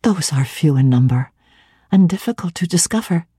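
A woman's voice: low-pitched, lively intonation, normal speed, warm tone, breathy edge, no echo, studio quality, exaggerated voice. A woman doing a pleading young governess. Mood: earnest. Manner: urgent, emotional.

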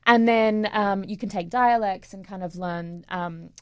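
A young actress with an English-Australian accent talks easily as an interview guest, filling her answers with ums and little laughs. Her voice sits at a middle pitch. The room sounds dry and close. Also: unhurried, clear, resonant tone, no echo. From England, raised in Australia. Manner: chatty, laughs often, many ums. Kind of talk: casual interview.

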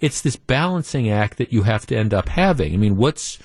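A man's voice: on the low side, expressive, moderate pace, darkish tone, breathy edge, no room sound. A man hosts a call-in radio show. He talks in a loose conversational way, full of restarts and fillers. The sound is dry and close to the mic. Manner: reads listener emails aloud. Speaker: radio talk show host.

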